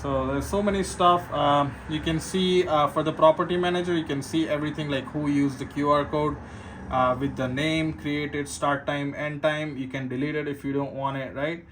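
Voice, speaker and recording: A man's voice: on the high side, steady tone, moderate pace, a bit bright, rough recording. A man giving an informal, unscripted product walkthrough as an installer, explaining step by step and often checking in with the listener. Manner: explanatory, often ends points with a checking "right?". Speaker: security system installer giving a product demo. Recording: clear background ambient noise.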